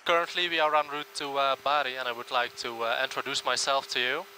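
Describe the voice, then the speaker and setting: A man's voice: mid-range voice, quick pace, rough recording. A man in his early twenties, an airline first officer talking informally and earnestly to camera in a hesitant way. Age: early 20s. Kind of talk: informal talk to camera about his job. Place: small airliner cockpit in flight. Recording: steady engine hum in cockpit.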